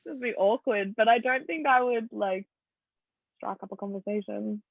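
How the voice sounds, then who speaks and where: A young woman co-hosting a podcast, chatting casually with quick, giggly reactions. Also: a little high, animated, dry recording. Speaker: podcast co-host. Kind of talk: casual chat. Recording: thin phone-like sound, dry.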